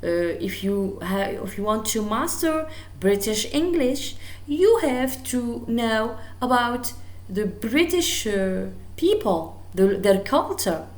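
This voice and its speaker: Algerian woman teaching english class, unhurried and halting, full of uhs, roomy echo. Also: fairly high pitch, animated. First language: Algerian Arabic. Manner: hesitant, many fillers and restarts. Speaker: university English lecturer. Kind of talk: recorded lecture.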